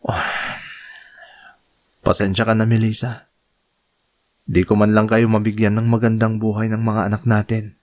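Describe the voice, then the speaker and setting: An actor playing a tired, apologetic husband and father in a radio drama, speaking softly and caringly. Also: fairly low pitch, completely flat delivery, moderate pace, long pauses, natural voice.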